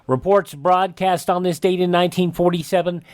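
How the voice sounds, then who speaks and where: A man's voice: high voice, steady tone, normal speed, smooth. A man hosting a radio show, reading a scripted rundown of historical dates.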